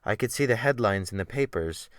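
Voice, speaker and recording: A man's voice: fairly low pitch, expressive, fairly quick, no echo, studio quality, natural voice. A man reading a dramatic audiobook as a refined, bookish, self-pitying scholar, with fussy, emphatic literary phrasing.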